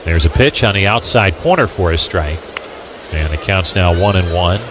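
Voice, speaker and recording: A man's voice: low voice, expressive, moderate pace. An American man calling a baseball game as a radio play-by-play announcer. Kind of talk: live radio sports commentary. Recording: clear background noise from outdoor ballpark.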